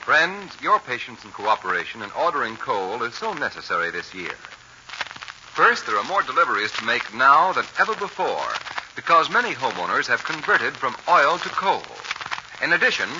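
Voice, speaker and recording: A man reading a scripted 1940s radio advertisement as an announcer, with an earnest, measured salesman delivery. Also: medium pitch, sing-song. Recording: muffled, noisy 1940s radio recording.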